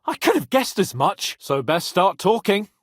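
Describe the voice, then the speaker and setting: A snobby young medieval lord for a game, raspy edge, bossy and quick to anger, really dry studio sound. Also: male voice, high voice, sing-song, no echo. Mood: threatening. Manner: imperious, sardonic, swings between sneering and shouting. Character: haughty, hot-tempered young medieval nobleman knight. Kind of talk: dramatic video game voice acting.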